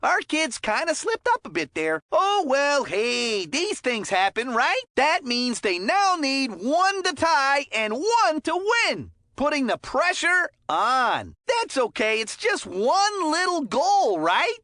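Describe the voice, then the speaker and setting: Folksy hockey announcer for a kids game, swoopy lively voice, super dry sound, chummy n upbeat. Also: female voice, sits high, slow pace, few pauses, no echo, full character voice. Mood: concerned but hopeful. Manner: folksy, chummy, slangy and encouraging. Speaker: hockey commentator character. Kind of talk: video game sports commentary voicelines.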